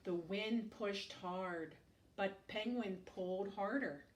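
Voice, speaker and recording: Slow, expressive woman reading to children. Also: mid-range voice, slight background noise. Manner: expressive, asks the listener questions. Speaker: children's storytime teacher. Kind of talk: read-aloud storytelling to young children with asides. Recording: echoey room, distant microphone.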